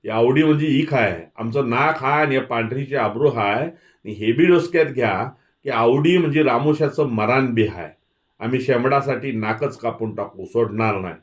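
A man's voice: medium pitch, normal speed, natural voice. A man voicing a stern, proud village headman with a commanding rustic manner in a story reading. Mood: warning. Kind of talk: dramatic audiobook character voice.

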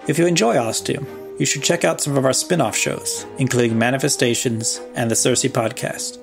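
A man's voice: mid-range voice, normal speed, vocal fry. A man hosting a podcast, reading a scripted show promo and credits. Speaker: podcast host. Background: music.

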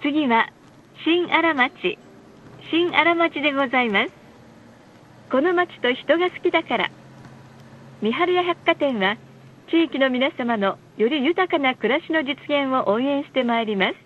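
A woman reading scripted bus stop announcements in a polite, formal, measured way. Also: high voice, animated, slight background noise. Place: recorded announcement played inside a bus. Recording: muffled, lo-fi bus speaker recording.

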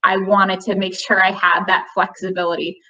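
A woman's voice: mid-range voice, little pitch movement, normal speed, pushed and bright, lo-fi sound. A young woman from a college admissions office answering webinar questions in a friendly, explanatory way with many fillers, over a video call. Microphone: video call webcam.

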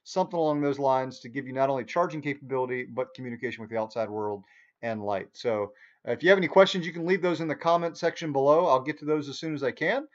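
A man in his forties giving a casual, folksy, unscripted product review to camera in a small room at home. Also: fast. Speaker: product reviewer, video host. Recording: dull, muffled low-fi sound.

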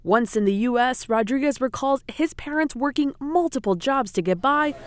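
A woman's voice: sits high, lively intonation, moderate pace, no echo, studio quality. A woman narrating a TV news feature in a scripted reporter delivery. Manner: bright, lilting reporter delivery.

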